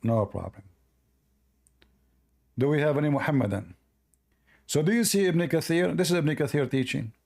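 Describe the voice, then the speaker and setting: A man whose first language is Arabic, hosting a live call-in debate and arguing forcefully while reading from sources. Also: a little high, moderate pace, gravelly, long pauses. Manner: forceful, argumentative, repeats himself. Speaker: host of a call-in debate stream.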